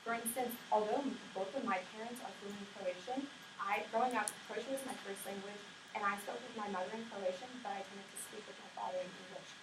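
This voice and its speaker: A young woman delivers an informative class speech, earnest and often restarting her sentences. Her voice sits in a middling pitch range. The recording is distant and roomy, with a faint hiss in the background. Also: steady tone, fast talker. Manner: earnest, frequent restarts and self-corrections. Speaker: student giving a class speech.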